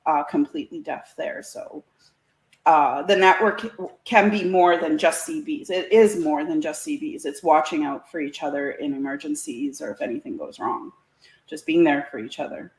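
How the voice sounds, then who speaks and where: Woman chatting in an echoey room. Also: deep voice. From Canada. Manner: chatty, folksy, laughs often. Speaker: interview guest. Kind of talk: casual chat. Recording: roomy, echoey sound.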